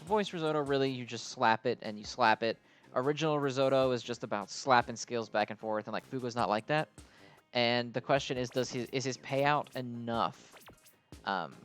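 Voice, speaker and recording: A man hosts a game video in a mid-pitched voice over music. He talks casually and off the cuff, working through explanations in a hesitant, rambling way. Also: fairly bright tone. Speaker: host of a mobile game video. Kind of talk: casual unscripted game commentary.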